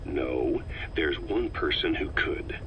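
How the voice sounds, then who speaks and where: An actor playing a shrewd, calm, calculating military veteran in a video game, measured and self-assured. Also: male voice, very deep voice, dull audio, full character voice. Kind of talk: dramatic game voice acting. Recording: faint background noise.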